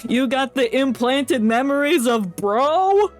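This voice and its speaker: A woman's voice: sits high, expressive, slow, soft background music. A woman streaming a game with casual, slangy, joking commentary, reacting to the story. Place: streaming setup, game audio behind.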